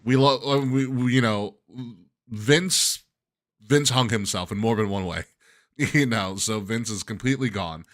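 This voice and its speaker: A man co-hosting a podcast, giving opinionated, animated commentary. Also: fairly low pitch, a little slow. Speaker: podcast co-host. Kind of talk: casual chat.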